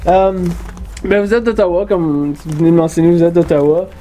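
A man's voice: sits high, fairly quick, warm tone, a little hiss or hum. A man from Ottawa with a Franco-Ontarian French accent, interviewing a band and asking hesitant, stumbling questions.

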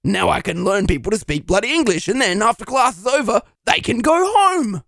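A man doing a gravelly, brash Aussie bum. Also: sits high, sing-song, brisk, fairly bright tone, no room sound, studio quality, heavily acted. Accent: Australian. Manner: brash, swearing, says mate. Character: crude boastful Australian male bum. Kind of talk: comedy audio drama.

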